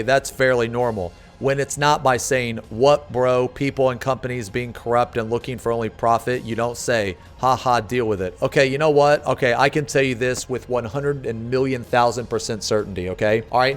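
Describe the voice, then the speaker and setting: A man in his thirties livestreaming, reading posts aloud and commenting on them in a sarcastic, incredulous, profane manner. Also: medium pitch. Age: 30s. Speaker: game streamer.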